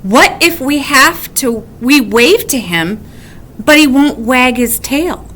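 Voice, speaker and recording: A woman's voice: high voice, expressive, takes it slowly, fairly bright tone, slight background noise, heavily acted. A woman voicing an anxious male weasel in a children's storybook, wailing dramatically as he imagines disasters. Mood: anxious alarm.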